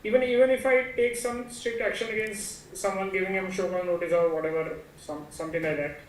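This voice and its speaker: Male student, high-pitched and halting, asking a class question from off-mic in an echoey space. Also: fairly even intonation. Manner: halting, searching for words. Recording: distant, echoey, noisy remote microphone.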